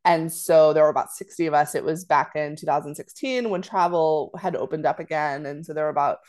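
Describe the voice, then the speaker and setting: A woman's voice: deep voice, brisk, darkish tone. A woman author from Florida talking thoughtfully as a podcast guest, with many "you know" fillers. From Florida, United States. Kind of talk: casual interview chat.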